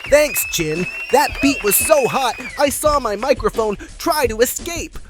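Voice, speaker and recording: A performer voicing a hammy, excitable male puppet host who jokes and makes showman introductions in a scripted puppet comedy. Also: high voice, music in the background. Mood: playful, hyped. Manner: hammy, deliberate showman delivery.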